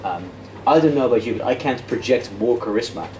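A man's voice: medium pitch, lively intonation, fairly quick, warm tone. A man in his thirties whose first language is Dutch, giving a casual, self-deprecating debate workshop lecture with lots of restarts and fillers while he walks and gestures in a classroom. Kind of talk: interactive lecture. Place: classroom with projector screen, indoors. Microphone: far camera. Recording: echoey classroom from far off, noisy.

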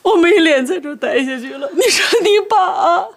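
Casting: middle-aged mother, high voice, swings widely, scolding and sarcastic. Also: big pitch swings, normal speed. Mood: tearful. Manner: scolding, sarcastic, turns tearful. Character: theatrical, sharp-tongued middle-aged mother. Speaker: mother of grown children. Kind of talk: TV drama acting.

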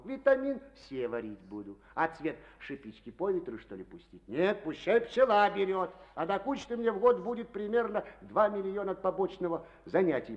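An actor playing a boastful, hearty collective-farm chairman in a Soviet radio play, folksy and exuberant. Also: high-pitched. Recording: dull old archival radio recording.

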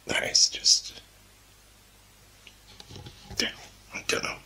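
A man whispering an uneasy, halting first-person commentary in a house at night, sounding spooked and nervous. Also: pushed and bright. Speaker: narrator recording himself at home at night. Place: indoors in a house.

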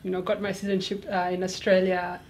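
A woman's voice: on the low side, level tone, fast, darkish tone, a little hiss or hum. A young woman from East Africa giving earnest, explanatory answers as an interview guest. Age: young adult. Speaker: interview guest, law student. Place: small studio, seated at a desk.

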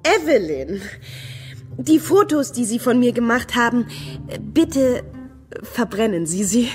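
A woman's voice: on the high side, very animated, takes it slowly, faint music behind. An actress playing a fragile, obsessive, eager-to-please woman in a radio play, with an edgy, insistent politeness. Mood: pleading, insistent.

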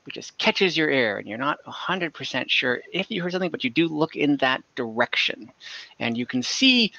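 Bright-voiced man running a tabletop game. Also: medium pitch, big pitch swings, moderate pace, gravelly, no room sound. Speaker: game master. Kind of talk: narrating a tabletop role-playing game, casual. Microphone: computer microphone on a video call. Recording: dull, compressed online call audio.

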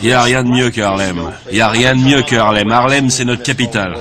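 A man's voice: medium pitch, fairly quick, a crowd in the background. A man reading a blunt, emphatic voice-over translation of a young interviewee.

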